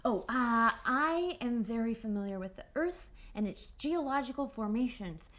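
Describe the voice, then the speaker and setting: A woman's voice: a little high, animated, normal speed, a bit bright. A woman playing a tabletop roleplaying game, chatting casually and playfully, partly in character.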